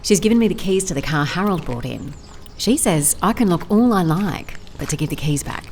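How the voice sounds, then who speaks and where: A woman's voice: fairly low pitch, lively intonation, fairly quick, a little mellow, slight background noise. A woman playing a wry, observant private investigator in an audio drama, with a dry, conversational manner. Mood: dry, musing. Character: wry, observant female private investigator narrating her case. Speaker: lead actor in an audio drama. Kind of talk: audio drama case notes and dialogue.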